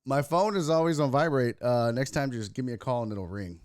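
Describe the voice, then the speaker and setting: A man hosting a casual livestream sports talk show, hoarse and raspy from losing his voice, joking crudely and mocking himself as he chats with viewers. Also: mid-range voice, a little fast, dry recording, clean studio sound. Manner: crude, self-mocking banter with chat. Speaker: host.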